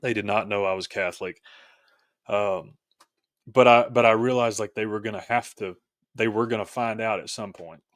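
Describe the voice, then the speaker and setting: A male podcast guest with a fairly low voice shares a personal story in a casual register. The sound is close and dry, and his delivery is reflective, with some hesitation as he finds his words. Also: steady tone, moderate pace, no echo.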